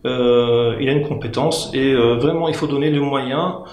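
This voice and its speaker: A man's voice: medium pitch, moderate pace, darkish tone. A man and local election candidate speaking on a political panel, hesitant, with many fillers and restarts. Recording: echoey studio with faint noise.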